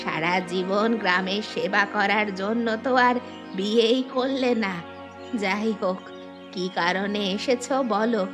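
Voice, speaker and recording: A woman's voice: medium pitch, measured pace, natural voice. A woman voicing a hospitable, plain-spoken old village mother. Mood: calm, frank. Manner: homely, welcoming. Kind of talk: moral-story cartoon dubbing. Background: music.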